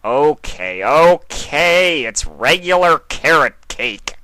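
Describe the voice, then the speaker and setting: A man voicing a cheeky, sarcastic male chaos spirit with a flippant, deadpan streak. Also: a little high, slow pace, smooth, pitched above the performer's own voice, exaggerated voice. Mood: cheeky, teasing. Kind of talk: fan fiction character voice.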